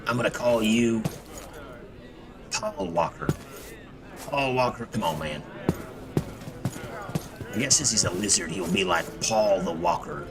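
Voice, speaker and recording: A man's voice: fairly low pitch, a little slow, pauses often, noisy background. A man giving casual, rambling gameplay commentary as a streamer, jokey and profane. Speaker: video game streamer. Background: game music.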